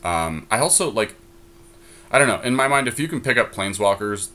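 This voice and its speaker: Low-voiced man musing over picks. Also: animated, a little fast, bright, forceful tone. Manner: musing, hesitant, lots of fillers. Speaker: podcast co-host. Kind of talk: casual chat while drafting a card game.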